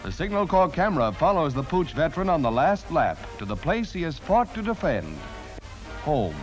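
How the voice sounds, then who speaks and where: High-pitched, animated 1940s American newsreel man. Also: moderate pace, soft background music. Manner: punchy, theatrical announcer delivery. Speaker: newsreel narrator. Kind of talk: 1940s newsreel scripted narration. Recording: vintage 1940s newsreel soundtrack.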